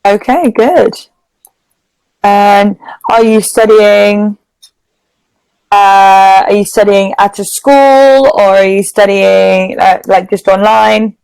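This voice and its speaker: Slow-paced British woman tutoring. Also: mid-range voice, fairly even intonation, gravelly, darkish tone, clear, resonant tone, no echo, poor audio. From England. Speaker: online English tutor. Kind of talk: casual conversational lesson. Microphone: video call.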